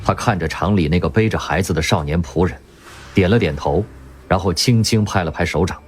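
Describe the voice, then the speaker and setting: A man narrating a radio drama in a vivid, expressive storytelling manner. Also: sits low, a little fast. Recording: music underneath.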